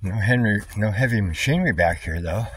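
A man's voice: fairly low pitch, animated, brisk. A man thinking aloud as he films himself walking a wooded trail outdoors, wondering about what he sees. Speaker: hiker vlogger. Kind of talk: casual walking commentary. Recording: slightly distant mic, faint background noise.

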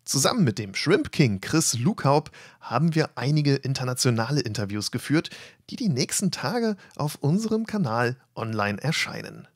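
A man reading a scripted voice-over for an event report in a lively, upbeat way, with a slightly husky voice. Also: mid-range voice, normal speed, no room sound, clean studio sound. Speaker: channel host narrating event report. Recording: faint music bed behind voice.

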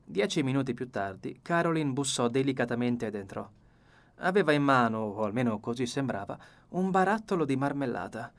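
A man's voice: mid-range voice, lively intonation, fairly quick. A man reading a novel as an audiobook narrator in scripted literary narration.

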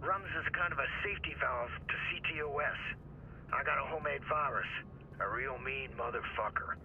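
An actor playing a scruffy, foul-mouthed older hacker ally in a video game, talking with folksy, slangy bravado. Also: male voice, mid-range voice, brisk, phone-quality sound, a little hiss or hum, natural voice. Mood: grim, wry. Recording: loud music over the voice.

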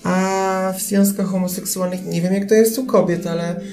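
A man's voice: sits high, steady tone, moderate pace, over music. A man chatting casually on a podcast as the invited expert, ironic and joking as he talks.

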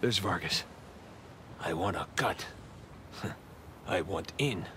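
A man's voice: low voice, slow pace, background noise. An American man playing a wry, restless young treasure hunter in a video game, talking casually and often muttering to himself. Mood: strained, wry. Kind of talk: naturalistic video game acting. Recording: game ambience behind the voice.

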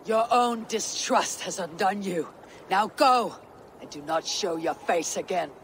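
High-pitched, commanding warrior god. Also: male voice, expressive, fairly bright tone, slight background noise, heavily acted. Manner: formal, measured, authoritative. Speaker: video game protagonist. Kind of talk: dramatic fantasy game acting.

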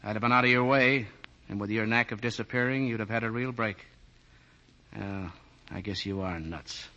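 An actor playing a tough but fair police detective in clipped, slangy 1940s radio style. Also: male voice, on the low side, normal speed, sharp tone. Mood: puzzled. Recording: muffled 1940 radio broadcast recording.

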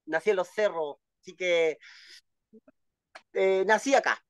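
A man's voice: sits high, a little slow, clear, resonant tone, no echo, studio quality. A man in his fifties with a Chilean accent, telling stories casually as an interview guest, often repeating words. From Valparaíso, Chile. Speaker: guest, poet. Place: at home, video call.

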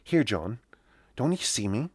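A man's voice: mid-range voice, big pitch swings, measured pace, husky, no room sound, studio quality. A man voicing a cheerful, scheming country wife in eager rustic dialect for a storybook. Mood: excited, coaxing.

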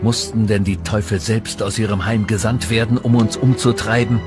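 A grim Puritan preacher, played by a man, delivers a solemn sermon in a fairly low voice. He sounds ominous, with music clearly behind him in a historical drama. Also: flat delivery, normal speed, natural voice. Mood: grim foreboding. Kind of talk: dramatized sermon in documentary re-enactment.

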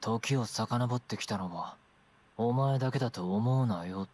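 A man playing a cool, rough-spoken young adventurer in a fantasy game, blunt and low-key. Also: a little slow, darkish tone, natural voice. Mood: wary. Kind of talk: dramatic game voice acting.

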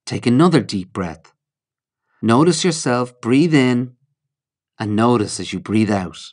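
A man narrating a guided mindfulness meditation in a calm, measured, instructional way, with short phrases and pauses. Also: medium pitch.

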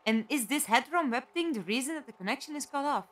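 A woman's voice: high-pitched, animated, quick pace, a bit bright, a little hiss or hum. A woman playing an inquisitive, practical companion in an audio drama, direct and questioning. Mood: curious, probing.

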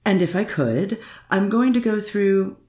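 A woman's voice: mid-range voice, lively intonation, measured pace, a little mellow. A woman attorney cross-examining a witness in a courtroom, pressing with leading questions. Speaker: defense attorney. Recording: echoey courtroom recording.

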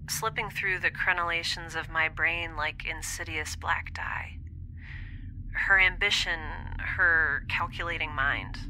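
A woman's voice: lowish voice, sharp tone, slight background noise, heavily acted, rough recording. A woman playing a lonely, wistful young space traveller in an intimate audio diary drama, hesitant and introspective, often dropping toward a whisper. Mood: anxious, introspective. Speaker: podcast audio drama lead.

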